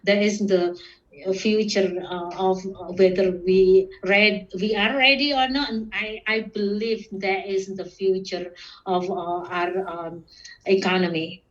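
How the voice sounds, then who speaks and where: A woman from Indonesia with an Indonesian accent, giving casual, halting interview answers as a podcast guest. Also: medium pitch, steady tone. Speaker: podcast guest, security professional and student. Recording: muffled, distant microphone.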